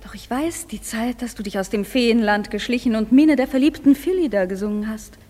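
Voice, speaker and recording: An actress playing a proud, indignant fairy queen, declaiming verse in a grand, deliberate way for a radio play. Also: on the high side, warm tone, close to an everyday voice. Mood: scornful, indignant. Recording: music behind the voice.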